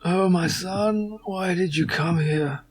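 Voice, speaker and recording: A man's voice: sits high, slow, slightly rough, pitched above the performer's own voice, heavily acted. A man voicing a dying, anguished father in a game, with a strained voice and a grave, melodramatic manner. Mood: anguished warning. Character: dying anguished father, urgently warning his grown son.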